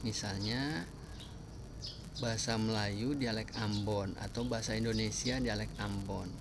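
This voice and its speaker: A man giving a spoken lesson, listing examples one by one with frequent pauses. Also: lowish voice, steady tone. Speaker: language teacher. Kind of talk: spoken lecture on language varieties. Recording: clear background noise with birdsong.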